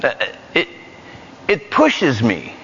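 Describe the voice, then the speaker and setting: A man with a New York accent preaching a lively, joking sermon in a church. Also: medium pitch, slow pace, a little hiss or hum. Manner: animated, joking, repetitive. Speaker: guest preacher. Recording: muffled church hall recording.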